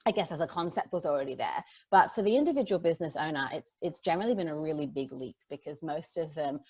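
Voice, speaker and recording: A woman's voice: sits low, lively intonation, fast talker, mellow, husky. A woman, hosting a casual conversation and talking thoughtfully with hesitant restarts. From Australia. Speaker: host, digital marketer. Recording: dull lo-fi sound.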